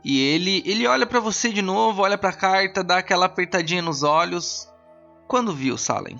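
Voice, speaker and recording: A man's voice: sits high, brisk, gravelly, sharp tone, highs cut off, soft background music. A man with a Brazilian accent improvising narration as game master, conversational, often repeating himself as he searches for words.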